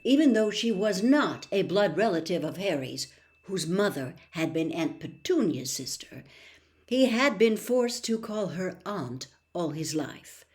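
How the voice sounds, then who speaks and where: A woman reading a story aloud as expressive audiobook narration. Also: on the low side, measured pace. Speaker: audiobook reader.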